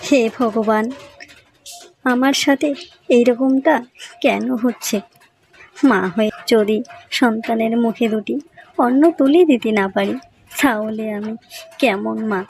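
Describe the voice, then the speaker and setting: Woman playing a high-voiced, worried village mother. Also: steady tone, takes it slowly, vocal fry, long pauses, a little mellow, a little hiss or hum, poor audio. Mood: despairing. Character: poor worried village mother, humble and loving. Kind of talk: Bengali cartoon story voice acting.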